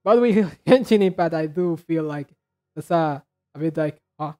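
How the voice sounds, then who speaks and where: High-voiced man, halting and rambling. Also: lively intonation, dark tone, soft background music. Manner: halting, rambling, repeats and restarts words. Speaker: reaction video host. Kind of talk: casual commentary on game trailers.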